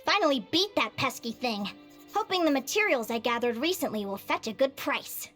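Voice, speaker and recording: Game heroine: young adventurer, dreamy and eager, very high voice, swinging intonation, faint music behind. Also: female voice, lively intonation, normal speed, a bit bright. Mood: wary, matter-of-fact. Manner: bubbly, wide-eyed, talks to herself. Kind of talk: video game voice acting, monologue.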